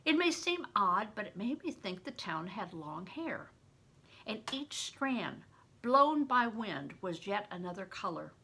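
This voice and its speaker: A woman's voice: medium pitch, very animated, unhurried, sharp tone, breathy edge. An older woman, a grandmother, reading a story aloud expressively, as if to children. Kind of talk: read-aloud audiobook narration with plain asides.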